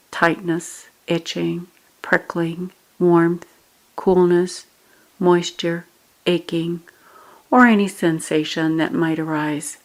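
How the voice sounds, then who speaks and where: A woman with a slightly low voice leads a guided meditation. She speaks very slowly, in measured, instructional phrases. Pauses separate the short phrases.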